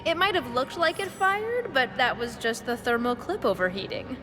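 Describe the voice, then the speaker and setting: A woman's voice: high-pitched, animated, moderate pace, background noise. A woman playing a friendly, plucky young volunteer in a video game, chatty and open in manner. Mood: chatty, matter-of-fact. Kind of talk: game dialogue acting. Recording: reverberant, music behind.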